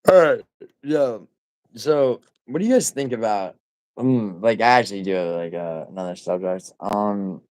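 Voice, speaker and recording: Low, nasal New man. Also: normal speed, darkish tone, no room sound, poor audio. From New York City. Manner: rambling, profane, full of fillers and restarts. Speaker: livestreamer. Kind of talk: casual livestream chat, reading viewer comments.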